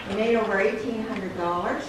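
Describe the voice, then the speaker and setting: A woman's voice: mid-range voice, brisk. Chatty woman in an echoey church. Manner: chatty and enthusiastic, with laughter. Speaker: church member giving an announcement. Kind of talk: casual announcement to a congregation. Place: small wooden church sanctuary, at a pulpit. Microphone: stand mic at the pulpit, far camera. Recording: echoey church room from a distant camera, background noise.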